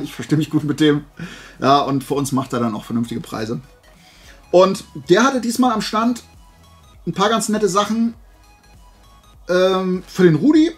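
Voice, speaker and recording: A man hosting a haul video, rambling casually to his viewers, often drifting off track and correcting himself. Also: fairly high pitch. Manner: chatty, digressive, self-correcting. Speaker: flea market haul video host.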